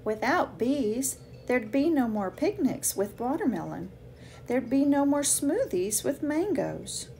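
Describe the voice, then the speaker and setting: A woman reading a children's picture book aloud with expressive, deliberate phrasing for young listeners. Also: sits high. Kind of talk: read-aloud children's storytelling.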